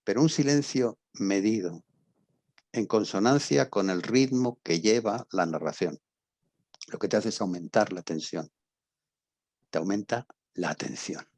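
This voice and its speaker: A middle-aged man with an accent from Spain lecturing informally, explaining with examples, repeating phrases and pausing to stress key words. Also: on the low side, a little mellow, no echo. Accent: Spanish from Spain. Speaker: university lecturer in radio drama.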